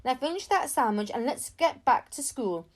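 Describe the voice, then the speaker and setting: A woman's voice: sits high, sing-song, moderate pace. A woman voicing a loving, decisive mother talking to her young child in a storybook. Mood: brisk, pleased.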